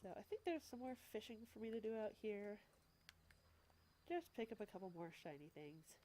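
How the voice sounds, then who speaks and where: A woman with a stuffed-up nose from a cold hosts a let's play in a mid-pitched voice. She chats casually and explains the game as she plays, with wry little asides. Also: very animated, moderate pace, mellow, a little hiss or hum. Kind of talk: casual gameplay commentary.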